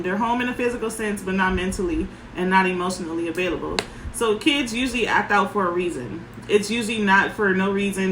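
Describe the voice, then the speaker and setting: Soft-voiced woman parenting coach. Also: mid-range voice, roomy sound, a little hiss or hum, poor audio. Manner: frank, speaks in short emphatic sentences. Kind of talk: casual advice talk.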